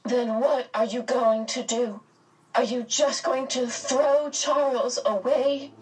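A woman voicing an anguished, impatient young girl in a storybook, with shrill, pleading delivery. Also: slow, recorded from a distance, low-quality recording. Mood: impatient despair.

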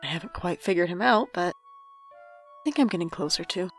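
A weary young adult in an audio drama, with a fairly low voice over music. The delivery is guarded and conversational, introspective with a dry, wry edge. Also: female voice, animated, normal speed. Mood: reflective. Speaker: main character and narrator. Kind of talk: audio drama acting with reflective narration.

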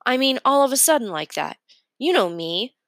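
An earnest young boy from a storybook, acted out by a woman reading aloud. The voice is lifted high and emphatic, eager and plain-spoken. It sounds close and dry. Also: sing-song, moderate pace, a bit bright, no room sound. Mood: earnest, unsure. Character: earnest, excitable boy, self-doubting young artist. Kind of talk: storybook character dialogue.

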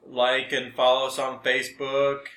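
A man's voice: medium pitch, completely flat delivery, moderate pace, sharp tone, roomy sound. An American man co-hosting a casual comedy podcast with dry, self-deprecating humor. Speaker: podcast co-host.

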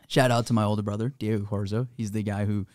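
A man's voice: lowish voice, darkish tone, no echo. A man in his twenties from Florida, a guest in a podcast studio, telling his story in an easygoing, casual way. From Florida, USA. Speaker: guest entrepreneur. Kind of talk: casual interview chat.

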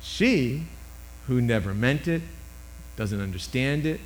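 Loud emphatic older male preacher. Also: medium pitch, lively intonation, slow, slight background noise, low-quality recording. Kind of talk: sermon. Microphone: microphone.

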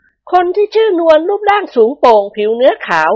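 A woman's voice: high-pitched, big pitch swings, fairly quick, muffled recording, voice pushed up. A woman voicing a genteel wealthy lady of the house who speaks sweetly and politely in a storybook reading.

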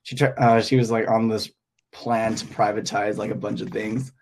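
A man's voice: lowish voice, steady tone, normal speed, darkish tone, slight background noise. A young man from Hong Kong, a teacher and podcast guest, talking casually and hesitantly, thinking aloud with many fillers.

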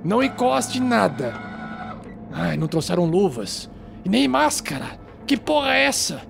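A fussy germaphobe recluse in a tabletop game, with a high, creaky voice that leaps around. He scolds and gives bossy, drawn-out orders with theatrical exclamations, over faint music. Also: sing-song, heavily acted. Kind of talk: improvised tabletop roleplay acting. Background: background music.

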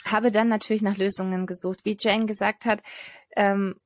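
A woman telling her personal story in a casual podcast chat, earnest and hesitant, pausing often on fillers. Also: medium pitch, flat delivery. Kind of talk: personal testimony in casual conversation.

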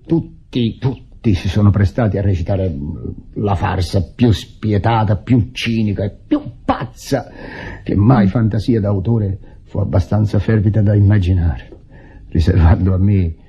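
An actor playing a bitter, wounded husband in an Italian radio drama, husky, deliberate and theatrically sarcastic. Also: male voice, on the low side, mellow, exaggerated voice. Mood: bitter sarcasm. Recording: dull vintage radio drama recording.

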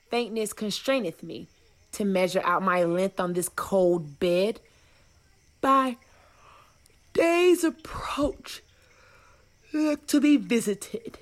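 Slow, combative young nobleman played by a woman. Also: medium pitch, expressive. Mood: faint, exhausted. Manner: declamatory, challenging. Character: combative, proud young male rival in duel. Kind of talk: Shakespearean radio play acting.

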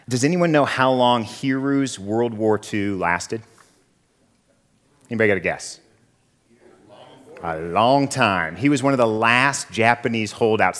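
A man in his thirties preaches with a fairly low, hoarse voice, a little froggy from a lingering cough. He talks to the room in an easy, conversational way and stops to ask his listeners questions. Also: fairly bright tone. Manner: conversational, asks the audience questions. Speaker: pastor. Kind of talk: sermon opening with a story.

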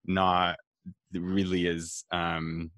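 Young man, very low voice, casual rambling chat, lots of fillers, dry close mic. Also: monotone, extremely slow, fairly bright tone, no echo. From rural Nevada, USA. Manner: rambling, frequent fillers and restarts. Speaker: marketing staff guest.

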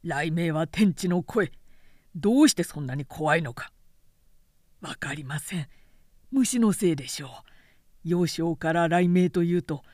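A woman's voice: on the low side, expressive, measured pace, long pauses, a little mellow, no echo, studio quality. A woman voicing a humble, cautious man in an audiobook, speaking politely in a subdued, deferential way. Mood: meek, shaken. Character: humble, cautious man feigning timidity before a warlord.

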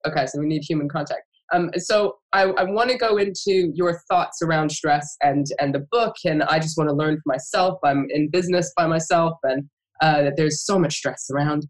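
A woman's voice: low-pitched, normal speed. A woman hosting an interview, reflecting on her own experience and asking questions with frequent fillers and restarts. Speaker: podcast host. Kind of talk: conversational interview.

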